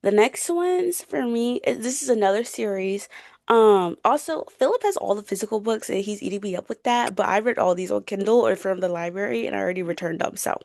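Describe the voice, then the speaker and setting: A woman's voice: mid-range voice, lively intonation, a little fast, gravelly, darkish tone, no room sound, clean studio sound. A young woman in a casual book chat as a podcast co-host, gushing, slangy and playful.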